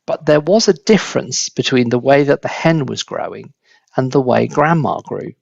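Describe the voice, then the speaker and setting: A man narrating a children's book as an expressive storyteller, in a slightly dull, close recording. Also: mid-range voice, moderate pace, creaky, no room sound.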